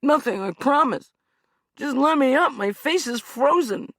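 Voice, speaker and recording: A woman's voice: sits high, lively intonation, measured pace, fairly bright tone, dry recording, pitched above the performer's own voice, full character voice. Woman voicing a whining cowardly man. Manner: whining, pleading, yelping.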